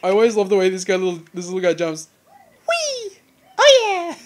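A man's voice: sits high, huge pitch swings, moderate pace, slight background noise. A man co-hosting a casual let's play, joking and laughing often as he talks through the game. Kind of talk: casual gameplay commentary.

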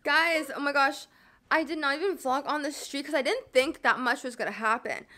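A woman's voice: sits high, normal speed, bright, forceful tone. A young woman vlogging casually on a trip, giddy and chatty, filling her talk with "like" and "literally". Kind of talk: casual travel vlog.

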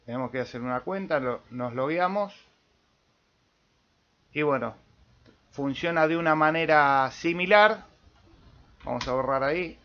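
A man with an Argentine accent walks through software in an informal tutorial style. His voice sits a little high and he takes his time, thinking aloud as he goes. The recording is somewhat muffled and lo-fi. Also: animated, unhurried, long pauses. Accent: Argentine Spanish. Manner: thinking aloud while demonstrating, checking in with "¿eh?". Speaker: amateur radio video tutorial host.

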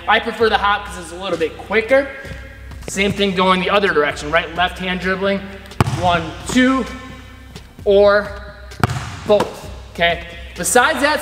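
A man's voice: high voice, fairly bright tone. A man in his thirties, a basketball coach, giving casual instruction while demonstrating moves in a large indoor gym, filmed by a camera some distance away. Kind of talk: instructional video. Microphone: far camera. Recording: echoey gym with long reverb.

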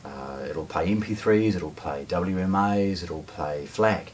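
A man's voice: deep voice, slow pace, a little mellow. A man, presenting a product unscripted in a conversational, explanatory way, stressing key words. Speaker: hi-fi shop presenter. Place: hi-fi shop showroom, indoors. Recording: distant camera mic, faint noise.

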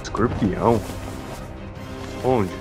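A man voicing an easygoing, grumbling older father figure in a comic anime parody, with a gravelly voice and Brazilian accent. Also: fairly low pitch, drawn-out words, music in the background. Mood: exasperated.

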